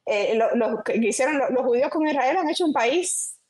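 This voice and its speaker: A woman's voice: high-pitched, expressive, moderate pace, a little mellow. A Cuban woman with a Cuban accent hosting a talk show, speaking earnestly and hesitantly with many fillers. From Cuba. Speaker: host. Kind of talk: casual talk show chat.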